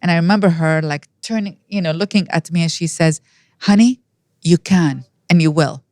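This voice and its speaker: A woman in her fifties from Iraq with an Iraqi accent, telling personal stories as an interview guest in an animated way. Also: lowish voice, normal speed, no echo. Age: 50s. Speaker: podcast guest.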